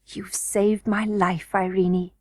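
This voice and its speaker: A woman voicing a brave, practical young boy miner, hushed, cautious and matter-of-fact, in a children's storybook reading. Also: medium pitch, normal speed, slightly rough, no room sound.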